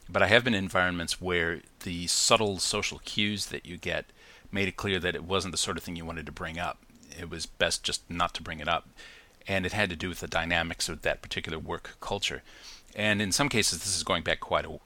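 A man's voice: sits low, fairly even intonation, a little fast, bright, forceful tone. A man hosting a casual solo podcast from New Zealand, chatty and reflective, with many ums and restarts. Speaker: podcast host.

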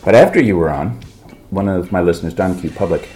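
Podcast host guy, really low voice, gravelly cuz he's got a cold, chatty, laughs a lot. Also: brisk, a little mellow, faint music behind. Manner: chatty, laughs often, light self-mockery. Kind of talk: casual chat.

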